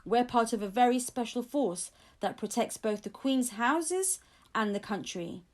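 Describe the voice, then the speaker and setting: A woman voicing a courteous, reassuring male royal guard lieutenant in a storybook, formal and measured. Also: fairly high pitch, expressive, darkish tone. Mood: proud, friendly.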